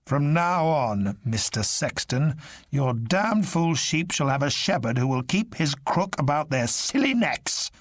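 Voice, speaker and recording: A man voicing a stern vicar who turns into a commanding pirate captain, speaking slowly and firmly. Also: fairly high pitch, big pitch swings, no room sound, clean studio sound.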